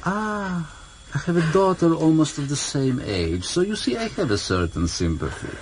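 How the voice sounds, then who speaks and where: An actor playing a cultured, mockingly courteous older foreign interrogator, formal and deliberate, in a vintage radio drama. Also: male voice, on the high side, very animated. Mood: menacing, polite. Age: middle-aged or older. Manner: formal, deliberate, mock-polite. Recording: slightly noisy vintage radio recording.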